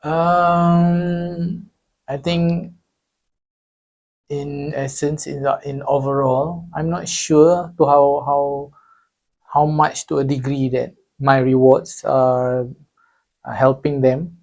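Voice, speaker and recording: A man's voice: fairly high pitch, little pitch movement, slow pace, a little mellow, rough recording. Man, lecturer, hesitant, self-correcting, casual interview.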